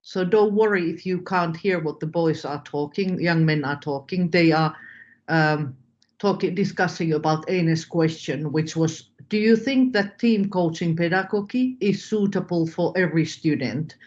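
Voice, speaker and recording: A woman's voice: lowish voice, normal speed, darkish tone. A woman moderating an informal webinar, on slightly dull call audio. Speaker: moderator, teacher.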